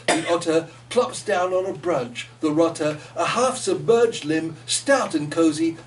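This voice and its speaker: A man giving a live poetry reading in a theatrical, playful way, with chatty asides to listeners. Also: fairly high pitch. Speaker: poet reading own work. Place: gallery reading in front of listeners. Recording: noisy room, distant microphone.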